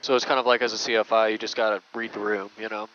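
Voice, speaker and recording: A man's voice: fairly low pitch, little pitch movement, fast, fairly bright tone, muffled recording. A man working as a flight instructor, chatting casually as he interviews someone.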